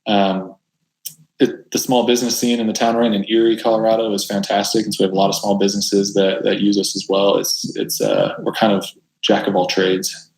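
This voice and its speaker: Male doctor guest, deep, flat delivery, roomy echo on the track. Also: brisk, gravelly. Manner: thoughtful, conversational, with frequent restarts. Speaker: family doctor. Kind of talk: casual interview talk.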